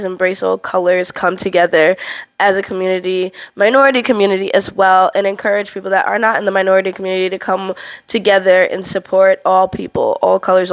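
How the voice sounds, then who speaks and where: A woman in her twenties with a slightly stuffy voice from a cold, telling a personal story to camera in a candid, animated way. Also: mid-range voice. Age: 20s. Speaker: vlogger.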